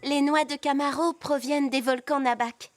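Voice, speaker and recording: A woman's voice: high-pitched, steady tone, moderate pace, natural voice. A woman voicing a studious, caring young cartoon girl who knows her plants, earnest in manner. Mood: earnest, knowing. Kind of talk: animated series dubbing. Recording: loud background music.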